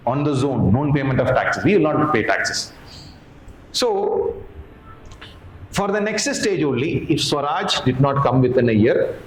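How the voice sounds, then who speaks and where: A man's voice: medium pitch, darkish tone. An Indian-accented man lecturing as an exam coaching teacher, emphatic, repeating phrases and asking questions that he answers himself. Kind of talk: explanatory lecture to camera. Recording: echoey room with background noise.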